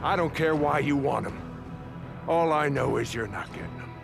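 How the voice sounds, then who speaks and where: An actor playing a defiant, gruff leader of a survivors' camp in a video game, blunt and forceful with a gravelly voice. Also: male voice, on the high side, moderate pace, over music. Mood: outraged. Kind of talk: dramatic video game cutscene acting.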